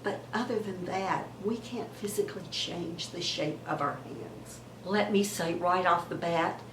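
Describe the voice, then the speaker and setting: A hoarse woman in her seventies chats slowly and conversationally, as if to friends, circling back over her own words. Her voice sits a little low. The room echoes and a faint hum runs beneath it. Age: 70s. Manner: warm, chatty, repeats words and trails off. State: hoarse from a cold. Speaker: beauty vlogger. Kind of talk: chatty beauty advice to viewers.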